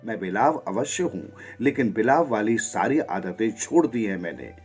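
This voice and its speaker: A man voicing a sly tomcat in a storybook tale, soft and deferential, feigning humility to flatter, over music. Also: on the low side, moderate pace. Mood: humble, wheedling. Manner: soft, smooth-talking, deferential. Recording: music behind the voice.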